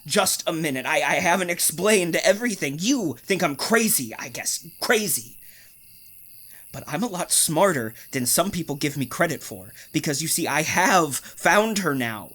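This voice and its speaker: An actor playing a deranged, obsessive hotel keeper in a radio thriller, halting and softly insinuating with mock concern. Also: male voice, a little high, expressive. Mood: unhinged, brooding. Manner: halting, softly insinuating, wide emotional swings. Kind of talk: old-time radio thriller acting.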